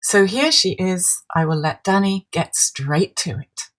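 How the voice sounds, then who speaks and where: A woman hosting a podcast, introducing her guest and asking gentle, welcoming questions. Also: on the low side, animated, unhurried. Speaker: podcast host. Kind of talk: podcast interview.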